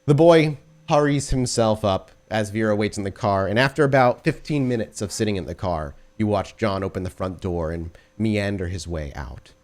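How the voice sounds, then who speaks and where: A man working as game master, improvising descriptive narration for a tabletop game in a halting way. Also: fairly low pitch, lively intonation, a little mellow.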